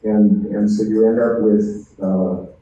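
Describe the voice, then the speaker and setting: Male board member, very mellow, speaking at a meeting, heard from afar in a reverberant room. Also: fairly low pitch, little pitch movement, slow pace, dull audio. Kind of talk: public meeting remarks. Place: indoor public meeting room. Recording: very echoey meeting room, distant, faint noise.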